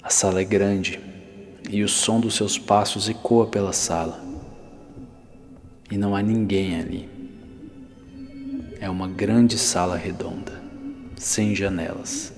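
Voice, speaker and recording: A very low male voice guides the listener through an immersive ASMR scene. He speaks softly and unhurriedly, leaving pauses and slipping close to a whisper. A long reverb surrounds him, with music playing behind. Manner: hushed, unhurried, with soft pauses, sometimes near whisper. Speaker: narrator of a guided ASMR roleplay podcast. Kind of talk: slow immersive ASMR guided narration, second person.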